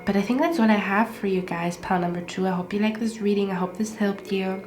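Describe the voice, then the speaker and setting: Woman tarot reader with a stuffed-up nose, repetitive and reassuring, frequent "okay?" check-ins, echoey room with soft music. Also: mid-range voice, steady tone, fast talker. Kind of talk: casual tarot reading monologue. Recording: roomy echo with faint music.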